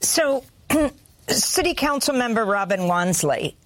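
A woman's voice: fairly high pitch, animated, slow pace. A woman hosting a news programme, introducing guests and putting questions to them. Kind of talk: broadcast news interviewing.

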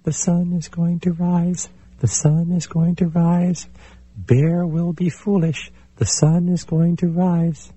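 A man voicing a small, boastful male chipmunk who mocks and then flatters, in a high squeaky voice. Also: measured pace, low-quality recording. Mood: taunting.

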